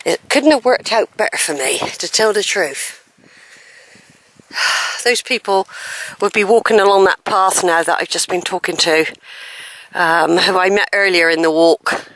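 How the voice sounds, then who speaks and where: A woman's voice: lowish voice, unhurried, long pauses, a bit bright. A woman chatting to the camera as she walks a hillside trail, musing aloud and questioning which way to go, with sighs between her remarks. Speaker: hiking vlogger. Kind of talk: chatty talk to camera while walking. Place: outdoors on a hillside countryside trail. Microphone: handheld camera.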